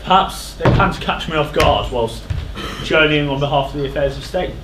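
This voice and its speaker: A man's voice: on the high side, normal speed, natural voice. Man playing a commanding, suspicious king, echoing stage. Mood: resolute. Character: troubled, commanding, suspicious king of ancient tragedy. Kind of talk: theatrical stage tragedy acting. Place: theatre stage. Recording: echoey theatre stage with background noise.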